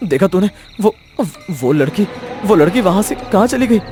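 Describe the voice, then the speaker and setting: Casting: male narrator acting frightened young man in a horror tale, urgent exclamations pushed high, music underneath. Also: lively intonation, moderate pace, darkish tone. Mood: startled. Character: frightened young man telling of a ghost chase. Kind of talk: acted dialogue in horror storytelling.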